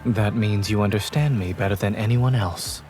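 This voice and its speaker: An actor playing a calm, principled male doctor in a video game, with a composed, measured and deadpan delivery. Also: fairly low pitch, music in the background, natural voice. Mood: calm, dry.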